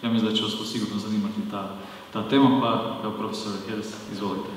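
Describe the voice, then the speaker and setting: A man's voice: lowish voice, little pitch movement, very fast. A man hosting an event who introduces the next guest in a hesitant way, with many restarts. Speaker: event host. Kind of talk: introducing a speaker. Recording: echoey hall from far off, faint hiss.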